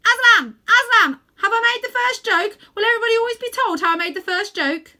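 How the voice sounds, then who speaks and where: Woman doing a loud, high, perky little jackdaw. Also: a little fast, exaggerated voice. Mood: excited, proud. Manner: loud, excitable, exclaiming. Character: small perky cheeky jackdaw, eager and proud.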